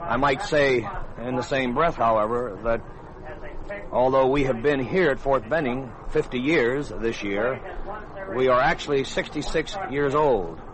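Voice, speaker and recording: A middle-aged American man, an army officer, gives formal, measured explanations in an interview. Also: medium pitch, poor audio. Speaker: army colonel, head of a test board, interviewee. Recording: outdoor demonstration rehearsal noise behind, crowd. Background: military demonstration rehearsal, crowd sounds.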